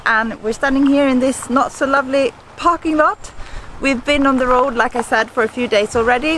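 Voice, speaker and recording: A woman's voice: high voice, poor audio. A young Swedish woman, not a native English speaker, hosting a casual travel vlog outdoors by the sea in the wind, chatty and upbeat. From Sweden. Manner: chatty, upbeat, gets excited about views. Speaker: vlogger host. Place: outdoors by the sea, in windy weather.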